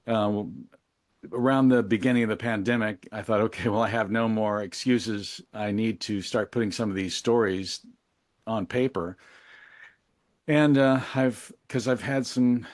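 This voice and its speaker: Reflective, low-voiced man, closely recorded. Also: no room sound, clean studio sound. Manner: reflective, candid, thinking aloud. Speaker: interview guest, musician and memoir author. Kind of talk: casual, reflective interview talk.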